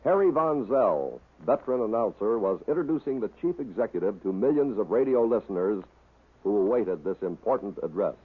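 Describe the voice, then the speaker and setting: A man's voice: lowish voice, expressive, a little fast, breathy edge. An American man delivering scripted narration as a documentary-like narrator. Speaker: narrator of a radio bloopers compilation. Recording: narrow phone-like vintage recording.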